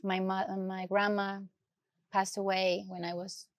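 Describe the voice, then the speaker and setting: A woman from Ecuador with an Ecuadorian Spanish accent, telling her personal story as an interview guest, soft and hesitant as she searches for words in English. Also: mid-range voice, little pitch movement, unhurried, dry recording, clean studio sound.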